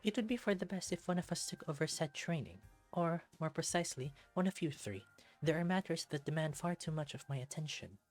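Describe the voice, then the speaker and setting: A man voicing a precise, commanding leader of a superhero team in a visual novel, speaking in a formal, measured, authoritative way. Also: on the high side, animated, soft background music, pitched above the performer's own voice. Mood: measured, insistent.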